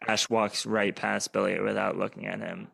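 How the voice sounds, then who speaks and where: A man's voice: lowish voice, little pitch movement, normal speed, vocal fry, a bit bright, breathy edge. A man reading scripted stage action as narrator of a radio play.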